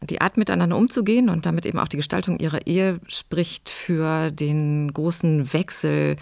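A man gives expert commentary in a creaky, gravelly voice. He talks deliberately and academically, recorded very dry and close. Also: fairly high pitch, steady tone, darkish tone, no room sound. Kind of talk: explanatory interview talk.

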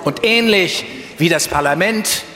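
Pompous banker politician giving a slow grand speech, big echoey hall, satirical radio play. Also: male voice, high-pitched, sharp tone, some chatter behind. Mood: solemn justifying. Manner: declamatory, solemn. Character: grandiose male banker-politician proclaiming a new law. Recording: echoey hall with long reverb.

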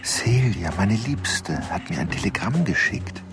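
A brooding male killer in a radio drama monologue. The voice is very deep and slow, with a heavy creak. He is intense and halting, often sinking toward a whisper over faint music. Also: lively intonation. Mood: obsessed. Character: obsessive, brooding male killer confessing his crimes.

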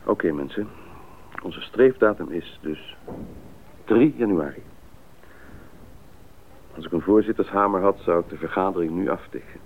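An actor playing a decisive expedition pilot in a Dutch radio drama, speaking in a deliberate, businesslike way. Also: male voice, low-pitched, slight background noise, natural voice. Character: decisive male expedition pilot leading the planning. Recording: muffled narrowband radio play recording.

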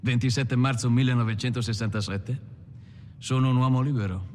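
An actor playing a weary, wry freed gangster turned painter, speaking in a halting, measured film monologue. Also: male voice, medium pitch, fairly even intonation, a little mellow, natural voice. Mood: reflective. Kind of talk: dramatised first-person film monologue. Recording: music behind the voice.

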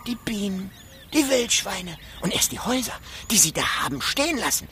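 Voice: mid-range voice, very animated, normal speed, sharp tone, a little hiss or hum. Odd cackling wasteland scavenger, wildly swooping. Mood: gleeful teasing. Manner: stuttering, rambling, breaks into cackles. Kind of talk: radio drama acting.

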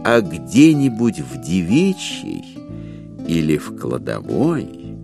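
A male narrator tells a literary story in a fairly low voice. His delivery is wry and theatrical, rising and falling freely, with plucked music clearly behind him. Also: wildly swooping pitch, takes it slowly.

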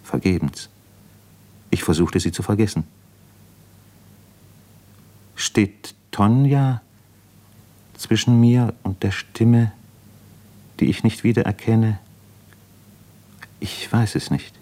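Radio play: ailing introspective diarist, deep-set low register, brooding, halting, self-questioning. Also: male voice, warm tone. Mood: anxious, brooding. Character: brooding, ailing man writing an introspective diary. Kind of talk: literary radio play monologue.

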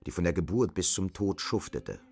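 Male narrator for radio play, low-pitched voice, literary scripted delivery. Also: sing-song, normal speed, vocal fry, a little mellow.

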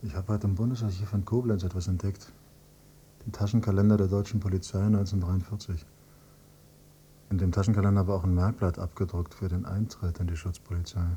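Actor as a very dark-voiced, probing grown son. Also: male voice, low-pitched, flat delivery, a little hiss or hum, natural voice. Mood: sober, ironic. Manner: measured, probing. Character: accusing grown son, a teacher probing his father's past. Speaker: actor and narrator in a radio play. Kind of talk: German radio drama, narrating and reading documents.

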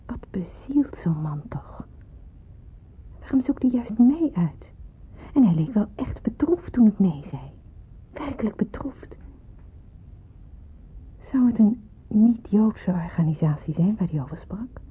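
Hesitant young nurse, thin old recording. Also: female voice, medium pitch, animated, a little slow, pauses often, husky. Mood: brooding, troubled. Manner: hesitant, earnest. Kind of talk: radio drama acting. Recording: muffled, narrow-band old radio play, hissy.

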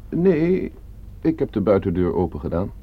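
Cold polite schemer, husky low voice, precise phrasing, radio play, slightly dull recording. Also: male voice, very animated. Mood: defensive, evasive. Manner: measured, precise, instructing, polite. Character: cool, scheming nephew hiring a killer for inheritance. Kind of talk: radio drama acting.

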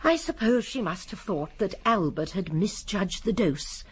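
A genteel old English lady who solves crimes in a radio play. Her voice is very husky and swoops expressively. She is prim and courteous, rambling a little, yet shrewdly sure of herself. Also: mid-range voice, animated, a little slow. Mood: explaining. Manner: prim, digressive, precise, gently assured. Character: shrewd, genteel elderly English lady amateur sleuth, politely modest. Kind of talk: BBC radio drama acting.

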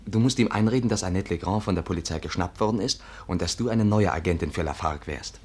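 An actor playing an impatient, condescending and persuasive male companion in a 1950s radio drama, speaking briskly. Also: low voice, breathy edge. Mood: eager, persuasive. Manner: brisk, patronising.

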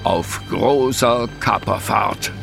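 An actor playing a dramatic storyteller of old pirate adventures, narrating in a deliberate, portentous way. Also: male voice, on the low side, creaky, music in the background, heavily acted. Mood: portentous, solemn.

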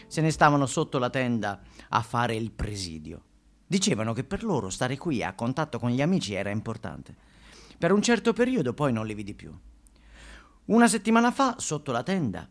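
A man performing a theatrical first-person monologue, conversational and repeating phrases for emphasis. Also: medium pitch.

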